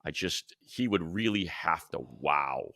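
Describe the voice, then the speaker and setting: Very deep, gravelly male sports host. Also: animated, measured pace, bright, forceful tone, dry recording, clean studio sound. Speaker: sports talk show host. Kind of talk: casual sports analysis.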